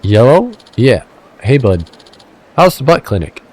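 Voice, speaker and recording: A man's voice: medium pitch, big pitch swings, unhurried, darkish tone, husky. A man voicing a brash, wheeling-dealing male fixer with a shaky attempt at a Minnesotan accent, pushy and threatening on the phone. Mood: bullying.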